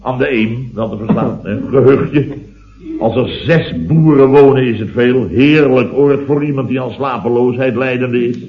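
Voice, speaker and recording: A man's voice: mid-range voice, normal speed, natural voice. Man acting a blustering, exclamatory builder boss. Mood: boastful, jovial. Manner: exclamatory, rambling, indignant storyteller with tag words. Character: blustering middle-aged builder boss telling weekend mishaps. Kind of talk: late-1960s Dutch radio comedy serial acting. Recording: muffled old radio recording.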